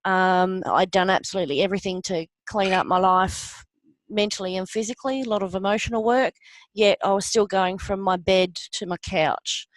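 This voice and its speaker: A middle-aged woman, a guest giving an earnest personal account, often pausing with ums. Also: mid-range voice, steady tone, no room sound, rough recording. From Australia. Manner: earnest, halting with many ums. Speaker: interview guest. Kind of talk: personal testimony.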